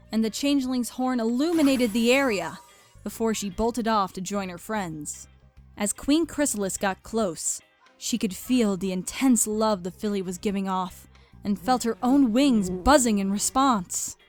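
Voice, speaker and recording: A woman's voice: a little high, expressive, unhurried, faint music behind. A woman reading scripted story narration.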